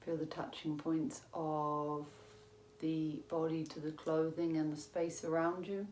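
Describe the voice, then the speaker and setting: Female meditation guide, low register, calm and measured with long pauses, roomy sound. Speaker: yoga teacher. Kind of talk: guided meditation, yoga nidra instruction. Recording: roomy echo with faint background noise.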